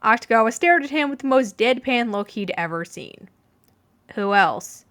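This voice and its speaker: A woman narrates a fan story in a fairly high voice. Her reading is expressive and animated, with plenty of rise and fall. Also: a little fast. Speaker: podfic reader.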